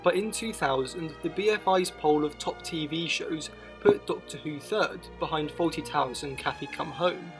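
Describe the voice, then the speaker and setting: A man's voice: fairly high pitch, moderate pace, few pauses, over music. A man reading scripted narration for a documentary-style video essay.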